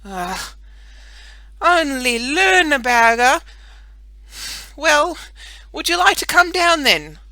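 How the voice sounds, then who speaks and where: Brief: a reader playing a kind, mischievous small boy, bright and eager. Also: mid-range voice, very animated, a little slow, hardly any pauses, dry recording, voice pushed up, full character voice. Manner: eager, raised voice. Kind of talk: storybook reading.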